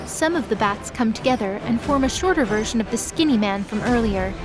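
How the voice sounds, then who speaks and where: A woman narrates an audio drama in a fairly high voice. She reads scripted third-person action as the storyteller. Clear music plays behind her. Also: expressive, brisk.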